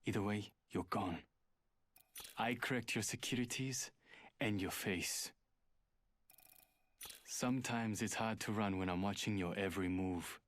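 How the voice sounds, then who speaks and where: A man's voice: fairly low pitch, unhurried, pauses often, fairly bright tone, no echo. An actor voicing a guarded, arrogant male hacker in a video game, speaking in a terse, serious, superior way. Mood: cold, condescending. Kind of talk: video game character voice lines.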